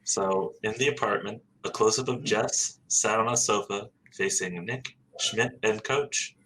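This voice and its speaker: A man's voice: fairly low pitch, measured pace, bright, forceful tone, a little hiss or hum, rough recording. A man reading out stage directions as scripted narration at a table read.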